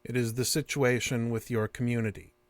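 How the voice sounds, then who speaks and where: A man's voice: mid-range voice, steady tone, fairly quick, dry recording, natural voice. A man acting in an audio drama as an alien interrogator, formal and clinical, with stilted, puzzled questioning. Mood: clinical, insistent.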